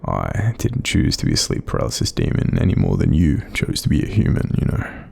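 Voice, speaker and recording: An Australian man voicing a kindly, apologetic sleep paralysis demon in an intimate audio roleplay, soft-spoken, hesitant and breathy, gently reassuring the listener. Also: huge pitch swings, brisk, slight background noise, heavily acted. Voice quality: very deep, breathy, creaky.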